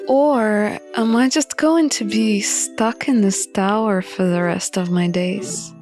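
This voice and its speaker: A woman reading a visual novel aloud with expressive narration and casual commentary. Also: mid-range voice. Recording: music playing behind the voice. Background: music.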